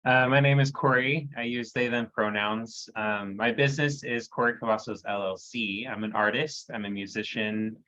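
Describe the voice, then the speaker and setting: A man introducing himself on a video call in a relaxed way, with many pauses and fillers. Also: medium pitch, dry recording, dull audio. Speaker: workshop participant.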